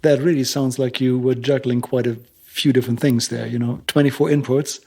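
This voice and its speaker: Husky-voiced male podcast host. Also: mid-range voice, flat delivery, brisk, a little mellow. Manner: short backchannels, asks questions. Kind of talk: interviewer.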